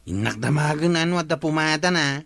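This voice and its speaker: An eager errand-running nephew in a radio drama. The voice is fairly high for a man, bright and forceful. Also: quick pace, gravelly, no room sound.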